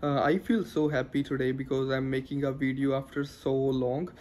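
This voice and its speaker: A man's voice: mid-range voice, fairly even intonation, moderate pace, a little mellow, a little hiss or hum. A young man with a Punjabi accent, chatting earnestly to camera in a casual vlog, with many filler sounds, recorded indoors on a small handheld mic. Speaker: vlogger. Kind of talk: casual talking-head vlog. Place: living room in daylight. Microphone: handheld lapel mic close to mouth.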